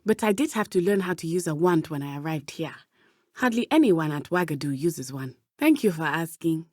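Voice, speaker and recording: Brave teen witch girl from a video game, lively pitch, careful formal wording, dry close sound. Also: no echo. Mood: warm, earnest. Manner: precise, measured, formal diction. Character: earnest, brave teenage schoolgirl witch from Uganda. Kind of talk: video game character dialogue.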